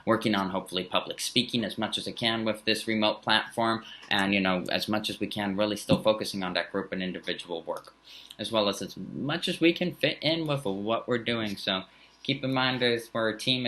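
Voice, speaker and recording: A man in his thirties, a high school teacher from the Bay Area with a slight German accent, giving an earnest recorded welcome full of fillers and restarts. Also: sharp tone, low-quality recording. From San Francisco Bay Area. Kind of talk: recorded back-to-school talk to parents.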